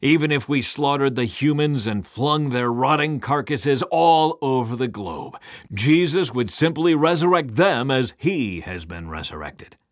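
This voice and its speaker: Male narrator as vengeful lord of demons: commanding, grandiose, bellowing, raised swooping pitch, storybook villain. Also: animated, unhurried, no room sound, clean studio sound, close to an everyday voice. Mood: grim, vengeful.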